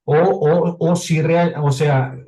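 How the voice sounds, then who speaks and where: Non-native man asking from the floor; polite, halting, stumbles and restarts. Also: fairly high pitch, steady tone, warm tone. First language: not Spanish. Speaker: audience member asking a question. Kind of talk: conference question.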